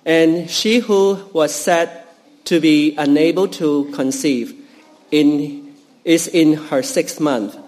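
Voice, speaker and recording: Slow, careful Cantonese-native man reading. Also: on the high side, a little mellow. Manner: halting, careful reading with fillers and restarts. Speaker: scripture reader. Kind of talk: Bible reading in church.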